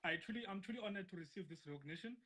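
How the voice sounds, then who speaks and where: Man giving an award speech on a call, fast and a bit husky, bright voice. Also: high voice, expressive. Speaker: award winner. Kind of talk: prepared acceptance speech.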